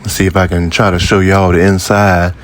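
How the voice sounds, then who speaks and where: A man in his fifties with a low voice and a Southern American accent. He talks in a folksy, conversational way, as if chatting to his viewers while telling a personal story. He is outdoors, with noticeable ambient noise and cars behind him. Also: level tone, a little fast. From Jacksonville, United States. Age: 50s. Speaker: vlogger. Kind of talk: casual personal storytelling to camera. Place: outdoors by an old building near a highway.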